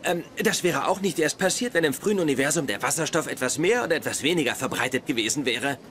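A man's voice: on the high side, lively intonation, fast talker, a little hiss or hum. A man playing a pompous, socially oblivious young scientist in a dubbed sitcom, pedantic and precise. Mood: smug, pedantic. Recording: TV sitcom audio with loud laughter.

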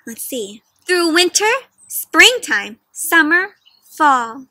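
Bright, high-voiced young woman reading to children. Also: takes it slowly, dry recording. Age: young adult. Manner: animated teacherly questioning, addressing young children directly. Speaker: children's read-aloud host. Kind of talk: interactive picture book read-aloud for young children. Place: outdoors in a leafy garden.

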